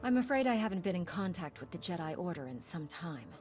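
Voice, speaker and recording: An actress playing a calm, diplomatic female Jedi commander in a video game, polite and composed. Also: on the low side, quick pace, faint music behind. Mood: polite, thoughtful. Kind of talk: video game cutscene acting.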